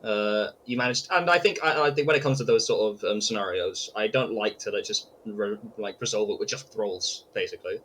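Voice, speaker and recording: A man's voice: lowish voice, a little fast, hardly any pauses, a bit bright. Man game master chatting over background noise. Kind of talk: casual chat wrapping up a tabletop game session.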